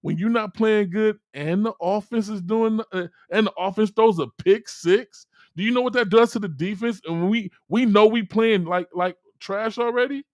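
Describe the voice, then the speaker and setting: A man's voice: high-pitched, normal speed, hardly any pauses, dry recording, muffled recording. A man co-hosting a sports talk show, passionately defending his team in a heated debate, stammering and repeating words when worked up. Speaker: co-host.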